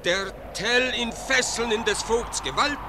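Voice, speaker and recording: A pious, anxious old fisherman in a radio drama, lamenting in fervent tones. His voice sits fairly high. A howling storm effect rumbles behind him. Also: unhurried, noisy background. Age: older.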